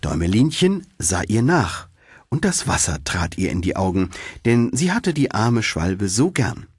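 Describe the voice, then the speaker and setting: A man narrates a fairy tale in a lowish, husky voice. His storytelling is deliberate and highly animated. The sound is dry and close, as in an audiobook studio. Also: no echo.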